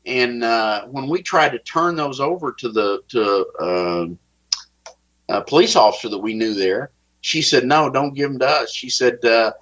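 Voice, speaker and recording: A man with a Texas accent, giving halting interview testimony and often repeating words as he starts a sentence. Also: mid-range voice, fairly bright tone. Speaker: guest. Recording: dull lo-fi audio.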